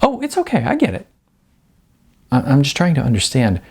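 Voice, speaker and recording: Man playing a young woman, high-pitched, husky, timid, stammering, apologetic, candid, audiobook dialogue. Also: huge pitch swings, brisk, darkish tone, exaggerated voice. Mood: earnest, coaxing. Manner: hesitant, stammering. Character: Jasmine, timid young woman, apologetic, candid, eager to smooth things over. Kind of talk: audiobook character voice.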